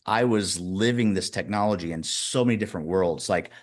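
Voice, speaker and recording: Fifty-something guy, lowish voice, chatty coach telling stories in an interview, animated. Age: 50s. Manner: animated storyteller, speeds up and slows down. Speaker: guest, executive coach. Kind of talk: casual interview chat.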